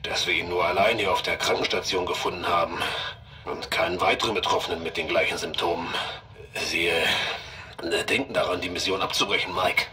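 A sci-fi audio drama doctor acted by a man with a very low voice. He is calm and deliberate, weighing things in a matter-of-fact way. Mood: calm, pondering. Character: calm, measured male ship's doctor. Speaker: ship's doctor.

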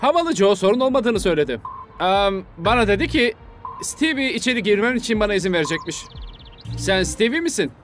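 An actor playing an eager, quirky young amateur detective in a cartoon, with an exaggerated way of talking. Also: male voice, high voice, very animated, brisk, fairly bright tone, soft background music. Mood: bluffing. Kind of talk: dubbed children's cartoon dialogue.

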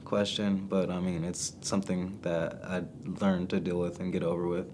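Young man with a very deep flat voice. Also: normal speed, slight background noise. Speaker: wheelchair basketball player.

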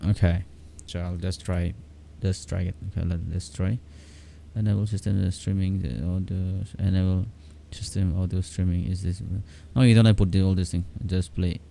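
A man giving a casual software tutorial in a halting, repetitive way, often checking that the listener follows. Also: low voice, little pitch movement, creaky. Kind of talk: casual screen-capture tutorial. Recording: faint background noise.

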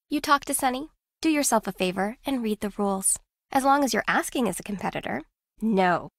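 A woman's voice: medium pitch, a bit bright, no echo. A woman acting a shrewd, competitive young woman in a video game, with a dry, teasing, self-assured manner. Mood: smug, teasing.

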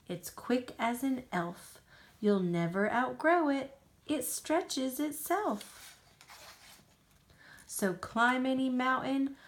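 A woman with an American accent reading a picture book aloud to a young child, with unhurried, expressive phrasing. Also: on the high side.

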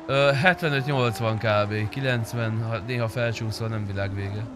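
A man's voice: on the low side, fairly even intonation. A young adult man chatting casually and swearing freely as he streams a roleplay game. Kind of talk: casual roleplay gaming chat. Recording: clear car engine noise behind.

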